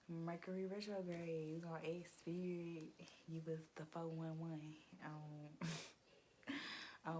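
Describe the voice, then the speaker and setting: Young woman, low and slightly raspy, slangy and relaxed, recorded on a noisy phone mic. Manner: laid-back, rambling, slangy, laughs often. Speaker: tarot reader. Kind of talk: casual chat. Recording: noisy background hiss.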